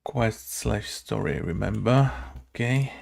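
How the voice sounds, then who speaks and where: A man with a low, very creaky voice thinks aloud as he codes. He speaks slowly and haltingly, muttering half-formed questions and decisions to himself in a casual, unscripted way. Typing and mouse clicks sit behind him. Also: lively intonation, a little mellow. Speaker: game developer making a tutorial video. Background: keyboard typing and clicking.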